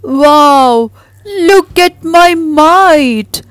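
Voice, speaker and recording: A woman's voice: sits high, expressive, very slow, fairly bright tone, dry recording, voice pushed up, heavily acted. A woman voicing a boastful, foolish male donkey in a children's storybook, self-important and exclaiming. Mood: boastful awe.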